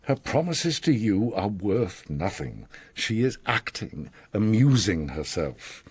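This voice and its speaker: An actor playing an older, worldly and enigmatic mastermind in a radio drama, speaking in a theatrical, measured, formal and philosophical way with a husky voice. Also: male voice, lowish voice, very animated, no echo, heavily acted. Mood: dismissive, commanding. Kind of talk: radio drama acting, storytelling monologue.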